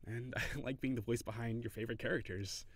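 A man playing a cheery, shy, flirty kitsune barista in an intimate audio roleplay, speaking hesitantly and giggly, with gentle encouragement. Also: mid-range voice, fairly even intonation, fast, no echo, natural voice. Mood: bashful, enthusiastic.

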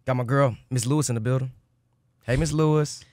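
A man's voice: medium pitch, fairly even intonation, a little fast, darkish tone, no echo. A young man hosting a radio interview, playful and slangy with a hype delivery, in a studio. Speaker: radio host. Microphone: studio mic on boom arm.

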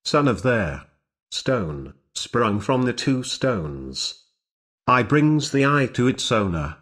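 A man's voice: mid-range voice, sing-song, takes it slowly, a bit bright, breathy edge. A man reading aloud in a solemn, declamatory recitation, in short separated phrases. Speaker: reader. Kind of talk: slow solemn recitation of ancient religious epithets.

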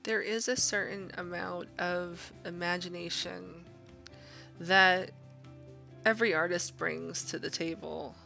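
A woman's voice: on the low side, steady tone, takes it slowly, gravelly, bright, forceful tone, soft background music. A woman art instructor narrating an informal drawing tutorial, explaining conversationally as she thinks aloud.

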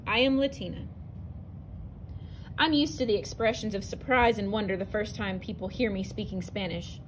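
A woman teacher reads a picture book aloud to her students, her voice a touch high and her delivery clear and expressive. She stumbles and restarts now and then. A faint hiss of room noise sits under the recording. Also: low-quality recording. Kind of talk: reading a narrative poem aloud to students. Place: indoors, at a table over an open book.

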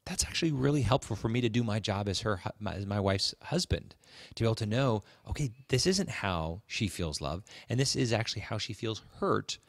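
Lowish-voiced man in dry conversational talk. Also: expressive, a little fast, dry recording. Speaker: podcast co-host. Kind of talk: casual conversational talk with religious reflection.

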